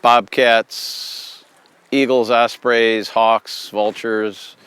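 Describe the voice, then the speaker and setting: A man from the American Midwest answering interview questions casually, halting with many fillers. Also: medium pitch, little pitch movement, a bit bright, clean tone, no echo. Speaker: interviewee, wildlife photographer.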